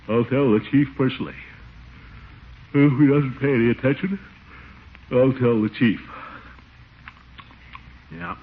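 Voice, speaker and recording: Flustered, self-important uncle, animated 1940s radio comedy delivery, muffled vintage sound. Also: male voice, mid-range voice. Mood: indignant. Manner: blustery, muttering, self-important. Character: pompous, flustered middle-aged uncle guarding money. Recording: dull, noisy 1940s radio recording.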